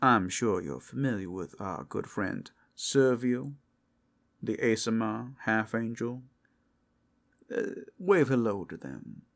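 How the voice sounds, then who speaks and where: A man's voice: on the low side, animated, slow, creaky, fairly bright tone, full character voice. A man voicing a suave, predatory male werewolf in an ASMR roleplay, speaking in a flirtatious, teasing drawl. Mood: sly, welcoming.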